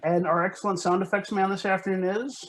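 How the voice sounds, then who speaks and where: Higher-pitched male announcer with some noise. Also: level tone, a little fast, fairly bright tone. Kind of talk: formal cast introduction.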